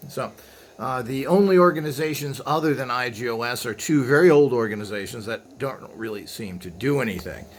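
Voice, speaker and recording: A man's voice: mid-range voice, a little fast, a little hiss or hum. A man presenting equipment in a casual, unscripted show-and-tell, with a blunt, griping, opinionated way of talking. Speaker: presenter demonstrating vintage equipment.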